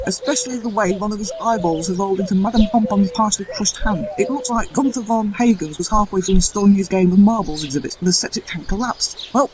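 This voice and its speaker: A man's voice: high voice, natural voice, rough recording. A man playing a flippant, wordy, self-satisfied adventurer in a comic audio drama. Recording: clear background noise.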